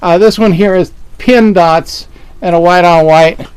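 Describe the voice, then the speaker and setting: A man's voice: high-pitched, unhurried, a little mellow, smooth, no echo, low-quality recording. A man from Western Pennsylvania co-hosting a casual fabric show, describing prints and prices with wry jokes. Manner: joking, wry asides. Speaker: fabric shop co-host. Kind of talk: casual live product showcase.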